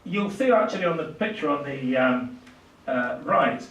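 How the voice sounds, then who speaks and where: An older man giving a public lecture off the cuff, in halting, stop-start phrases with emphatic stresses. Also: a little high, animated, fairly bright tone, lo-fi sound, a little hiss or hum. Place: small indoor lecture room at a lectern. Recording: echoey room, distant microphone.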